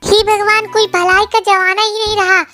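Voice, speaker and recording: A woman voicing an excitable female sparrow shopkeeper in a children's cartoon, with a squeaky, childlike voice and dramatic exclamations. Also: moderate pace, soft background music. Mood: distraught, wailing.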